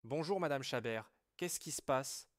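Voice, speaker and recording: A man reading everyday French dialogues for learners, with clear, measured scripted delivery. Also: medium pitch, dry recording, studio quality, natural voice.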